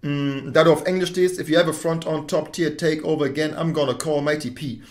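A man performing a live battle rap round, rhythmic and punchy as he builds toward each payoff. His voice sits fairly high. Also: fairly even intonation, fairly quick, darkish tone. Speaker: battle rapper.

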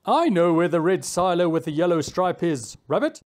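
A woman voicing a loud, husky old male bird who is impatient and bossy. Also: lowish voice, expressive, moderate pace, pitched below the performer's own voice, close to an everyday voice.

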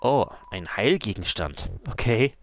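Animated man doing game commentary. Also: fairly low pitch, measured pace, music in the background. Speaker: let's play host. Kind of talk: let's play commentary, reading game text aloud. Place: voice recorded over game audio, music behind.

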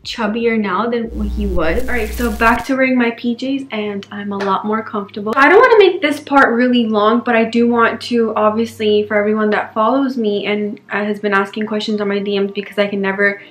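A woman's voice: mid-range voice, brisk, hardly any pauses, a bit bright. Chatty young woman vlogging in an echoey bedroom. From New York. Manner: chatty and candid, many fillers. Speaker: lifestyle vlogger. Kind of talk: casual vlog chat to camera. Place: bedroom indoors.

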